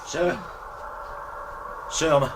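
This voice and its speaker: An actor playing a grave, deferential manservant in a radio drama. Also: male voice, drawn-out words, noisy background. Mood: grave, shaken. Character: deferential male servant bearing grim news.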